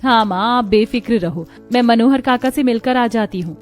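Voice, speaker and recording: Woman as young cartoon village seamstress, modest, earnest, slightly high voice, music behind. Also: normal speed, a little mellow, lightly acted. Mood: calm.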